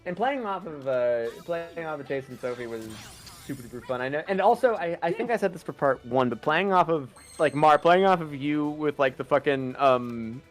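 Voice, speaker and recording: An excitable voice actor chatting casually on a live stream, swearing freely and breaking off to restart thoughts. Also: mid-range voice, moderate pace, faint music behind. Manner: sweary, excitable, self-interrupting. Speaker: voice actor reflecting on a fandub.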